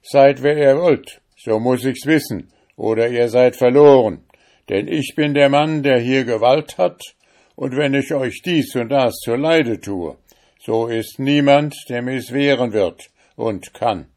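A bullying, high-pitched judge from an old fairy tale, barking his threats loudly and arrogantly, as a man puts him on. The voice sits well above the narrator's low tone and comes out forceful and overbearing. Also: very slow. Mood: blustering. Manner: blustering, loud and threatening. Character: rich wicked male judge, overbearing and arrogant. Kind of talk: storybook reading.

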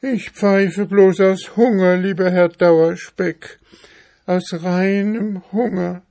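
A man's voice: high voice, steady tone, slow pace, dark tone, voice pushed up. A man voicing a poor, hungry female mouse in a storybook, plaintive and politely begging. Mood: plaintive, woeful.

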